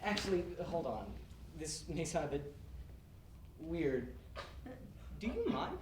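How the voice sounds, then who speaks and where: A man's voice: a little high, expressive, slow pace. Actor playing a flustered young man, distant and echoey. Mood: hesitant. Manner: stammering, exaggerated. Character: awkward, flustered young man on a bad date. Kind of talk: amateur one-act stage comedy. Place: indoor theater stage, far from the mic. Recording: distant, echoey, noisy stage recording.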